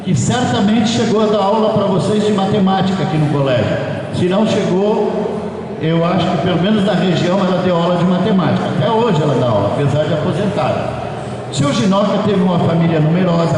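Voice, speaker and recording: A man with a southern Brazilian accent gives an informal history lecture to school students, in a colloquial storytelling manner. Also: high voice. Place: large echoey room at a school. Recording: echoey hall from far off, background noise.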